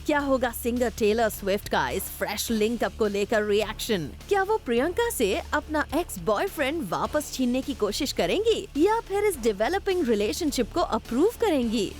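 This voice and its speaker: चंचल, उतार-चढ़ाव भरी आवाज़ में महिला समाचार वाचिका. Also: female voice, a little high, very animated, normal speed, few pauses, faint music behind. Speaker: news reporter. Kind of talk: scripted entertainment news voice-over.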